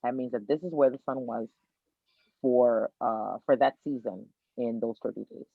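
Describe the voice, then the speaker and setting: A man from Miami, Florida, an astrologer guest on a podcast, explaining birth charts in an energetic, teacherly way and repeating his key points. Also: mid-range voice, normal speed, creaky, dry recording. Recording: tinny dry phone-like line.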